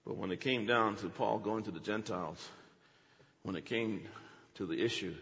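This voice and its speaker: A man's voice: fairly low pitch, moderate pace, a bit bright, big-room sound, lo-fi sound. A man preaching a teaching sermon, dramatic, acting out quotes with big swings in his voice.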